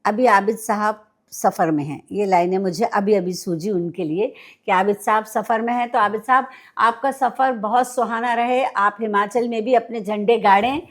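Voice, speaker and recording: A woman's voice: mid-range voice, moderate pace. A woman with an Indian accent hosts an interview podcast conversationally, speaking to her guest and to listeners. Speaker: podcast host.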